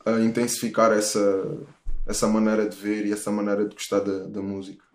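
Relaxed Portuguese man in a roomy space. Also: lowish voice, a little fast. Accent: European Portuguese. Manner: relaxed, rambling, many restarts and fillers. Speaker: musician guest. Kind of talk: casual interview chat.

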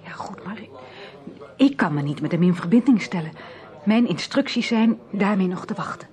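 A woman's voice: medium pitch, lively intonation, moderate pace, a little mellow, natural voice. Earnest, anxious woman, played by an actress. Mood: hushed, serious. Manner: hesitant, earnest. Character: earnest, anxious woman searching for her brother. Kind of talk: radio drama dialogue.

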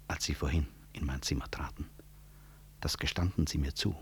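An actor playing a proud, composed middle-aged physician and professor in a 1960s radio play, formal and measured, husky, with grave irony. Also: male voice, a bass voice.

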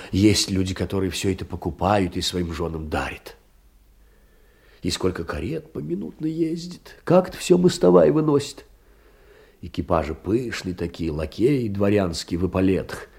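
An actor playing a lonely, meek middle-aged clerk, musing tenderly in a husky, gravelly voice in a theatrical monologue. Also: male voice, low-pitched, full character voice. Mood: wondering. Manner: musing, intimate, hesitant storytelling. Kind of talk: theatrical literary monologue in an audio play.

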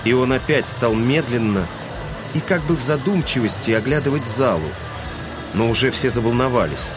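A man's voice: medium pitch, animated, normal speed, close to an everyday voice. A man narrating a literary audio drama in a scripted, storytelling style. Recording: music under the voice.

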